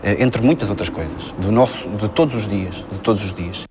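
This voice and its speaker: A man's voice: on the low side, normal speed. A man in his early thirties with a European Portuguese accent, speaking off the cuff as an interviewed student. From Coimbra, Portugal. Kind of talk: spontaneous interview talk. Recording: reverberant space with clear ambient noise.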